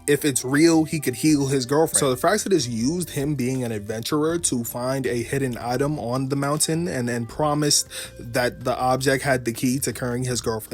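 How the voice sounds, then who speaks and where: A man giving a casual, slangy video game story recap, swearing freely as he goes. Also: medium pitch.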